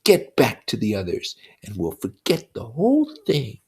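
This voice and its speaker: A narrator voicing a menacing, mocking pig's head, the beast, with drawn-out schoolmasterly condescension in a story read aloud. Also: mid-range voice, wildly swooping pitch, a little mellow, slightly rough, voice pushed up, exaggerated voice. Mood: sly, taunting.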